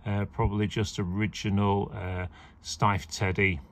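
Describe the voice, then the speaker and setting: A man's voice: fairly low pitch, level tone, unhurried, slight background noise. A man showing auction lots to a handheld phone camera indoors, chatting casually with lots of fillers. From England. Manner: chatty, rambling, with many fillers. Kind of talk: casual video walkthrough. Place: indoors beside a leather armchair.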